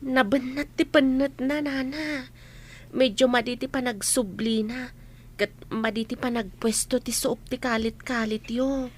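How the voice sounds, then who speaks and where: A woman's voice: a little high, fairly even intonation, a little slow. A Filipino woman playing a reassuring village massage healer in an audio drama, patient in manner. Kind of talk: Ilocano audio drama acting.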